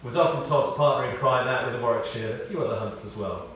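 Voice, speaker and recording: Guy reading out a tribute in a big echoey space, kinda far from the mic, wry measured storytelling. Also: mid-range voice, a bit bright. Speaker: tribute speaker. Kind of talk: read-out memorial tribute with anecdotes. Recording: echoey hall, distant, faint noise.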